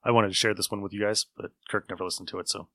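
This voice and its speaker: A man's voice: fairly low pitch, steady tone, very fast, a bit bright, no room sound, studio quality. A man hosting a casual podcast chat about old radio shows, rambling along as an enthusiastic storyteller. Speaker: podcast host.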